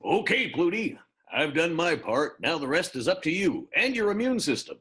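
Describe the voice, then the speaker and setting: A man playing a gruff, casually bossy werewolf mentor in an improvised radio play. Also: fairly high pitch, very animated, moderate pace, fairly bright tone, faint music behind. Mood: brisk, coaching.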